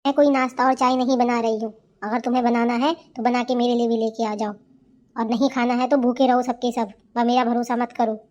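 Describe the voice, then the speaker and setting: A woman's voice: fairly high pitch, little pitch movement, fairly quick, a little mellow, highs cut off, lightly acted. A woman playing a brash, lazy young city bride in a cartoon, scornful, bossy and full of complaints. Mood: defiant, scornful. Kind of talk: cartoon character dialogue.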